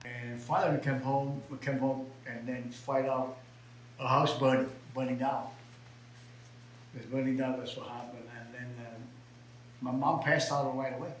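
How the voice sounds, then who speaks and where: An older man from Vietnam with a Vietnamese accent tells his life story in halting, broken English full of repeats, sometimes rising to emphatic outbursts. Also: medium pitch, little pitch movement, reverberant, slight background noise, rough recording. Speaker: interviewee. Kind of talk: personal storytelling.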